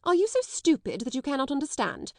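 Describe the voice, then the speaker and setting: A woman playing a sharp, domineering schoolmistress. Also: a little high, animated, moderate pace, no room sound, studio quality. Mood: harsh. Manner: cold, scolding, sharp. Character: stern domineering middle-aged woman schoolmistress. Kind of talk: audiobook character voice.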